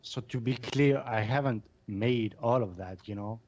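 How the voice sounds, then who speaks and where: A man's voice: mid-range voice, unhurried, darkish tone. Modest man from Greece on a muffled call. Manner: modest, hesitant, lots of fillers. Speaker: podcast guest, 3D artist. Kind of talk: casual interview.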